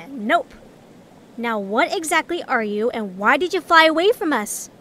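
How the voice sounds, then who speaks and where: A scary, abrasive female adventurer, played by a woman, full of biting sarcasm. The voice is high and swoops expressively in audio drama style. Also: animated, a little slow, bright, forceful tone, heavily acted. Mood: blunt, bossy.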